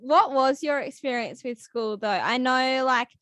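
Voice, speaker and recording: A young woman co-host with a high voice. She keeps the conversation moving with friendly questions. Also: a little slow, a bit bright. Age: young adult. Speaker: podcast co-host. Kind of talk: casual interview.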